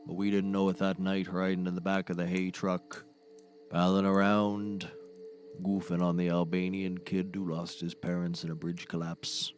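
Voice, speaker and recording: A low-pitched, eccentric comedy character, acted by a man, speaks like a self-serious folksy raconteur. He rambles grandly through his tall tales. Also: little pitch movement, normal speed, soft background music, natural voice. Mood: dramatic storytelling. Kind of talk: scripted audio comedy acting.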